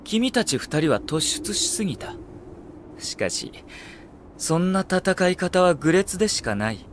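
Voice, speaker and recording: An actor playing a coolly ruthless, suave young military strategist in an anime audio drama, speaking in a smooth, measured, condescending way. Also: male voice, sits high, big pitch swings, background noise.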